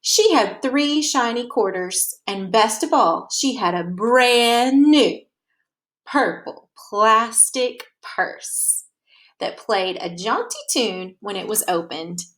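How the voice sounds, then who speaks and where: A woman reads a children's picture book aloud as a storyteller, with expressive, deliberate narration that leans on key words. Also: on the high side.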